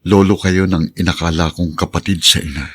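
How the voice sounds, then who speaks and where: An actor playing a humble, forgiving younger family man in a Filipino radio drama, husky, polite and deferential. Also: sits low, fairly even intonation, brisk, dry recording, studio quality. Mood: humble, sincere. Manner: polite, gentle, deferential phrasing.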